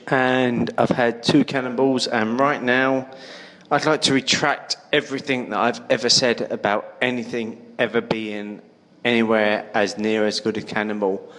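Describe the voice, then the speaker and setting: A man's voice: mid-range voice, steady tone, moderate pace, fairly bright tone. A man recording a casual voice memo in a bar's toilet, speaking tipsily and emphatically. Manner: tipsy, emphatic. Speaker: podcast co-host in recorded clip. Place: toilet at a bar. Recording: reverberant bathroom echo.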